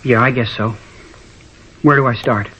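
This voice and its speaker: A man's voice: mid-range voice, fairly even intonation, moderate pace, bright, forceful tone, a little hiss or hum, close to an everyday voice. A man playing a contrite American teenage schoolboy in a 1950s radio drama, hesitant, earnest and slangy. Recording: muffled vintage radio recording.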